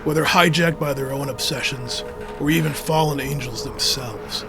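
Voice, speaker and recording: A hard-boiled male guardian , played by a man, narrates in the first person with a medium-pitched voice. His delivery is dramatic, dry and noirish, with music clearly underneath. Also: moderate pace, lightly acted. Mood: tense, wry. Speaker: narrator and lead.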